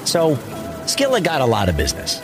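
A man's voice: on the high side, huge pitch swings, brisk, over music. A man narrating a scripted myth for children in a dramatic, playful manner. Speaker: storyteller, host.

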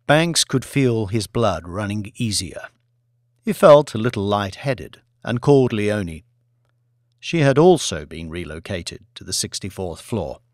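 A man's voice: fairly low pitch, expressive, unhurried, no echo, studio quality. A man narrating a thriller audiobook in a scripted, dramatic storytelling style.

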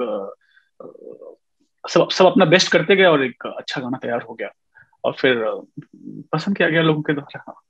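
Man on a dull-sounding video call. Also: a little high. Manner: animated storytelling, mixing Hindi and English, many fillers. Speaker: music composer guest. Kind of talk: casual interview on a video call. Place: indoor room at home. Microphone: webcam on a video call.

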